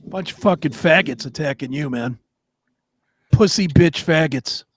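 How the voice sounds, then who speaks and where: A man's voice: fairly high pitch, a little slow, breathy edge. A man co-hosting a talk show in a casual, profane way, blunt and opinionated. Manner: blunt, opinionated, swears freely.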